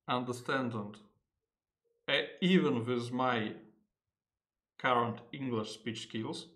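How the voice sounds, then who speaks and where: A man with a Russian accent and Russian as his first language, giving an unedited software tutorial in English, halting often with fillers and correcting himself. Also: medium pitch. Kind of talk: unedited screen-recorded tutorial.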